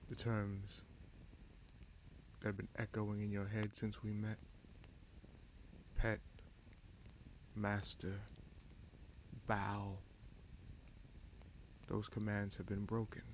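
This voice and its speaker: Man acting a slow, deep-voiced brooding fantasy prince. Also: completely flat delivery, slight background noise, low-quality recording. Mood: solemn, earnest. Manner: measured, deliberate, halting pauses, solemn. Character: vengeful bastard prince and sorcerer, brooding, resolute, quietly conciliatory. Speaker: audio roleplay performer. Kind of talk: dramatic fantasy audio roleplay.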